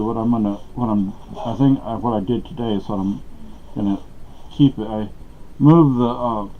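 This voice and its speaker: Middle-aged man from Texas, chatty and meandering, recorded in a dark room on a dull-sounding camera mic. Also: mid-range voice. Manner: meandering, many fillers and restarts. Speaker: vlogger. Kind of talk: rambling casual talk to camera. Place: small dark room at home.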